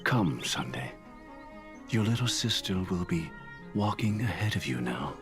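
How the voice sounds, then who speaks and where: A man playing a kindly, composed older guardian in a video game, formal and reassuring with gentle authority. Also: sits low, big pitch swings, a little slow, over music. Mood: reassuring farewell. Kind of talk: video game drama acting.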